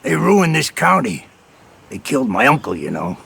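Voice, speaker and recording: An actor playing a bitter, scornful older landowner with a hoarse rasp in a game cutscene. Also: male voice, mid-range voice, very animated, fairly bright tone, exaggerated voice. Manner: slow, spitting contempt. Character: bitter old Southern landowner, scornful and feuding. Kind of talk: video game cutscene acting.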